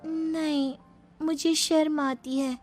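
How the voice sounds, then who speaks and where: High-pitched, coy young bride, acted by a woman. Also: fairly even intonation, slow pace, darkish tone, faint music behind. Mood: coy, cheeky. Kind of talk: Hindi cartoon story acting.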